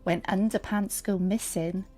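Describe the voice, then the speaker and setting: A woman reading a picture book aloud to young children, expressive and speaking straight to her listeners. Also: mid-range voice, unhurried. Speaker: storyteller and teacher reading to children. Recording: music under the voice. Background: music and sound effects.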